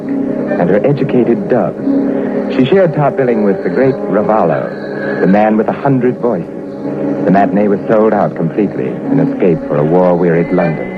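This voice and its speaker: A tinny vintage radio recording with music features a hard-boiled investigator. His low voice moves expressively, cool and sardonic, in clipped 1940s drama style. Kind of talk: 1940s radio acting with first-person narration.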